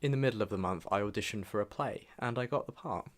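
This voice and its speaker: A man's voice: on the low side, fast talker. A young man reading a scripted voice-over that looks back on his own year, in the manner of a personal vlog. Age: young adult. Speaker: vlogger narrating own year in review. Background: background music.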